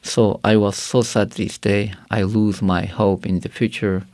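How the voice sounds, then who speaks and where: Gravelly low-voiced man. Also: little pitch movement, a little mellow, dry recording. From Karakalpakstan, Uzbekistan. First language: not English. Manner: halting non-native English, earnest, many fillers. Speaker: guest. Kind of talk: personal testimony interview.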